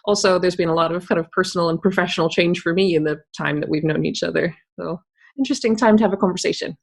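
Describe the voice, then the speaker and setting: A woman's voice: lowish voice, fairly even intonation, fast talker, creaky, slightly rough. Scottish woman, accent worn down from living abroad, upbeat and candid, laughs a lot, says "you know" a lot. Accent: Scottish, softened by years abroad. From Scotland. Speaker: tech coach and guest. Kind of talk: conversational interview answers.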